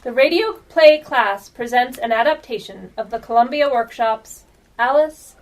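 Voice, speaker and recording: A woman's voice: on the high side, moderate pace. A young female announcer reading a scripted introduction. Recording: noisy, distant amateur recording with clicks.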